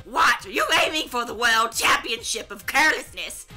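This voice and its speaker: Woman putting on a loud, scolding old granny. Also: on the high side, lively intonation, normal speed, faint music behind. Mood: exasperated nagging. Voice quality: very loud, yelling. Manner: exasperated, nagging, snorting.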